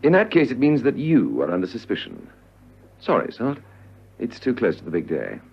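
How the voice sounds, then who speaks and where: Suave cold-blooded villain, very animated delivery, husky, muffled radio drama recording. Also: male voice, medium pitch, normal speed, exaggerated voice. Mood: coolly menacing. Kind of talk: spy radio drama acting.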